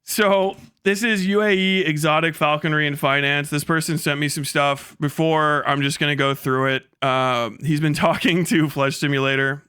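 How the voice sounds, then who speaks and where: A man's voice: on the high side, steady tone, normal speed, hardly any pauses, sharp tone, clean tone, no room sound, studio quality. A man giving casual livestream commentary as the host, rambling and stammering with plenty of swearing and an amused manner. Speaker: live streamer, host of the channel.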